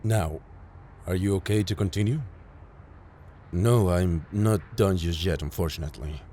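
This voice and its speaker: A man playing a calm, caring field medic who reassures a patient in an intimate audio roleplay. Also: low voice, normal speed, slight background noise, natural voice. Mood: caring, reassuring. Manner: gentle, reassuring, matter-of-fact, addressing a patient directly.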